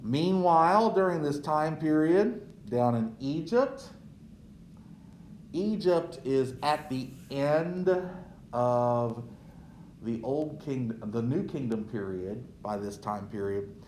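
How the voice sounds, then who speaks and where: A man giving an unhurried history lecture as a teacher, checking in with "right?" as he goes. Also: mid-range voice, animated, darkish tone, reverberant, a little hiss or hum. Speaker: social studies teacher.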